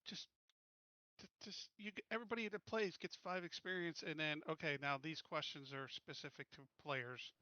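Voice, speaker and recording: Bright, higher-pitched man in casual discussion. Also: steady tone, moderate pace, dry recording, dull audio. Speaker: player in a tabletop game group.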